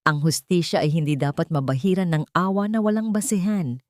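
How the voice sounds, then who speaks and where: A woman's voice: on the low side, fairly quick, darkish tone, dry recording, clean studio sound. A woman reading a dramatic scripted audiobook story as its narrator.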